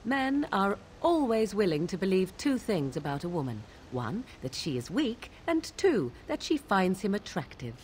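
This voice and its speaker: A woman's voice: medium pitch, very animated, normal speed, hardly any pauses, a little mellow, slight background noise, lightly acted. A woman playing a proud, sardonic young witch in a video game, speaking in formal, archaic, disdainful phrases. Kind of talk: video game dialogue acting.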